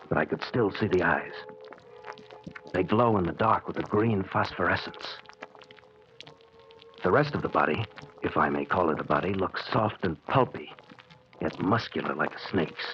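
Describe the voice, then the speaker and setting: A man's voice: deep voice, close to an everyday voice. An actor playing a secretive middle-aged banker obsessed with an experiment in his basement, tense and brooding, in a 1940s radio drama. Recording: muffled old radio recording with faint hiss.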